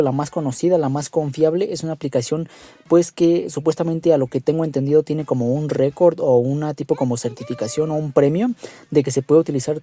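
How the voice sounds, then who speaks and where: A man's voice: a little high, warm tone, no echo. A man narrating a casual app tutorial in an informal, meandering way, often checking in with the listener. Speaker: tutorial host.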